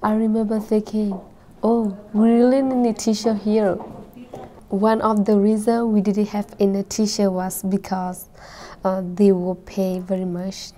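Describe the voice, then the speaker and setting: Soft flat-voiced teenage girl telling her story. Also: mid-range voice, a little slow, slight background noise. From Burma. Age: late teens. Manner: careful, simple phrasing. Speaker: high school student. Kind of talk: reading a personal story.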